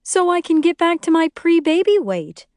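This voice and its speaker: A woman voicing an irritable young mother in an audiobook, sharp, exasperated and scolding. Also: sits high, big pitch swings, moderate pace, no echo, clean studio sound, pitched above the performer's own voice. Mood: curt, defensive. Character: irritable, snapping young mother, strict and easily outraged. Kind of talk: audiobook character dialogue.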